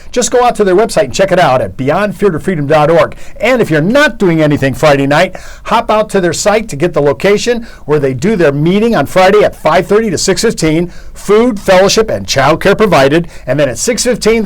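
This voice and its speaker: High, lively enthusiastic male host. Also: fairly quick, hardly any pauses. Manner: enthusiastic, rambling, repeats words.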